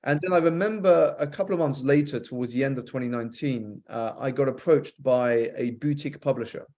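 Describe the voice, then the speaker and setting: A man with a British accent that has been softened by an American influence, a guest author on a podcast, telling a reflective story fluently with some repeated starts. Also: medium pitch, brisk. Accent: British, softened by years with an American spouse. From United Kingdom.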